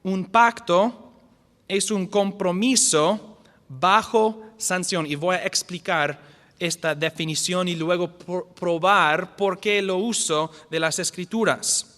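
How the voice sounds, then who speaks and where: A man who is a non-native speaker, teaching a church lecture series as pastor, earnest, often restarting words and slowly repeating key definitions. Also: high voice, fairly even intonation, fairly bright tone, long reverb tail. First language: not the language spoken. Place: church hall.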